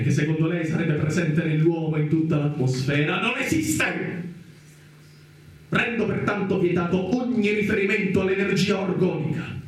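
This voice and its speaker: A man performs a zealous, pompous judge in a radio play. His high male voice declaims with theatrical, drawn-out emphasis. It rings in heavy echo, away from the mic. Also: close to an everyday voice. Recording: very echoey, distant from the mic.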